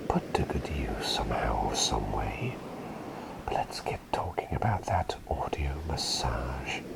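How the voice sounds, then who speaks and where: A man playing a gentle, attentive plague doctor in an ASMR roleplay, speaking in a breathy, soothing whisper with a polite, slightly hesitant formality. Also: extremely low pitch, full character voice.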